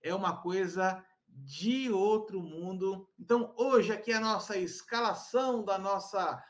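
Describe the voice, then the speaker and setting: Upbeat higher-voiced male podcast host. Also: measured pace. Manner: upbeat, welcoming, asks questions. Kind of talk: casual chat.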